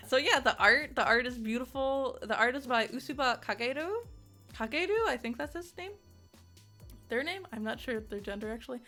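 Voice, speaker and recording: Giggly lady doing a rambly game review, really bright voice, bit of music in the background. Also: sits high, moderate pace, long pauses. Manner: giggly, excitable, rambling asides. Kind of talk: casual, chatty review to camera.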